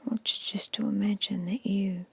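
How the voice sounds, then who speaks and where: A woman guiding an ASMR meditation in a hushed, soothing, breathy whisper with short, measured phrases. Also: mid-range voice, flat delivery, dry recording. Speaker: hypnosis and meditation guide.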